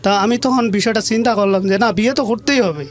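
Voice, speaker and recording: A man's voice: high voice, fast. A Bangladeshi man telling his life story as an interview guest, plain-spoken and colloquial, often repeating phrases. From rural Bangladesh.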